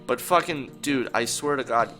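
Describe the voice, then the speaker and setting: A man's voice: mid-range voice, moderate pace, sharp tone, faint music behind. A young man co-hosting a casual chat, joking, swearing and slipping into mock-dramatic bits. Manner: profane, jokey, mock-dramatic outbursts. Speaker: podcast co-host.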